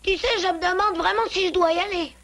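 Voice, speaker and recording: A woman's voice: very high voice, steady tone, quick pace, vocal fry, a bit bright, exaggerated voice. A woman voicing a naive, affectionate little boy bear cub in a French cartoon, childlike and earnest. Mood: reluctant. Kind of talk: cartoon dub acting.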